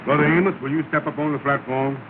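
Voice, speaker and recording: A pompous lodge leader, played by a male actor presides in formal, ceremonial style, his voice set a little high. It is 1930s film comedy, heard through a thin, noisy vintage soundtrack. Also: fairly even intonation, fast talker, natural voice. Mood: pompous, ceremonious. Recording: scratchy, narrow-band 1930 film soundtrack.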